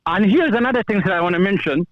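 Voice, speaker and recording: High-voiced Afghan-accented man on a dull call. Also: lively intonation, fast, dry recording. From Kabul, Afghanistan. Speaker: call-in guest, former police officer. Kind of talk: personal account in conversation.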